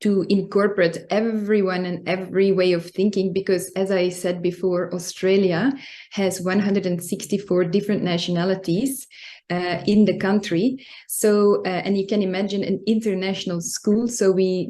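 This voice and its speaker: A woman from Belgium, speaking as a language, gives an informal talk in an earnest, explanatory way with frequent pauses. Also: fairly low pitch, dark tone. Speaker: guest speaker, coach and club secretary. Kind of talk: informal prepared talk on workplace values.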